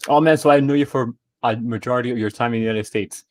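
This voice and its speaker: A man chatting casually as a guest artist, relaxed and quick to laugh as he talks. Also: medium pitch, no echo. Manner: relaxed, laughs easily, quick back-channels. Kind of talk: casual chat on a stream.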